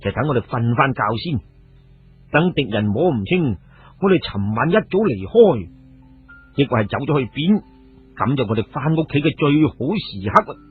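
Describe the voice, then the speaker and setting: Man playing cool husky hero leader. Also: medium pitch, very animated, moderate pace. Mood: confident. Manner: cool, decisive. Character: shrewd, resolute male hero and clan leader. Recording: phone-like, muffled audio with music.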